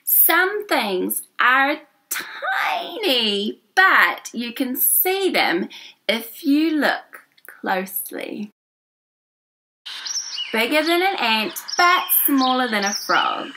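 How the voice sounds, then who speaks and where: A woman reading a children's picture book aloud as a storyteller, in an expressive, theatrical way with drawn-out words. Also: sits high. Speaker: story reader.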